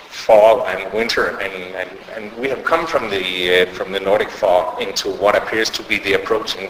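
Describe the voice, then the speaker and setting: A man's voice: on the low side, noisy background. A middle-aged man with a Danish accent, a sociolinguist giving a conference lecture with many hesitations and restarts. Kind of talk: academic conference talk. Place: lecture hall. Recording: echoey lecture hall, faint ambience.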